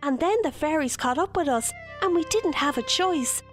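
Earnest young storybook hero, remorseful and urgent, raised bright pitch, voiced by a woman narrator. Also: animated, normal speed, faint music behind.

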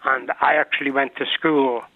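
A man's voice: medium pitch, little pitch movement, normal speed, dry recording. A man in his seventies from Ireland with a Mancunian accent, a caller chatting fondly and rambling with many fillers. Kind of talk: casual chat. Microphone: telephone. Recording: dry, tinny phone line.

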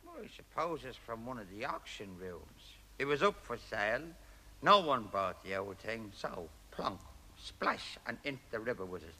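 A man's voice: medium pitch, bright, forceful tone, exaggerated voice, low-quality recording. An actor playing a garrulous, colourful Irishman in a radio play, chatting away.

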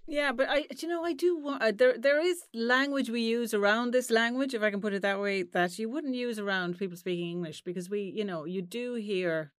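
A woman's voice: fairly high pitch, a little fast, hardly any pauses, no room sound, studio quality. Irish woman hosting a podcast. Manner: probing, conversational questions. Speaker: podcast host. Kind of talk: interview hosting.

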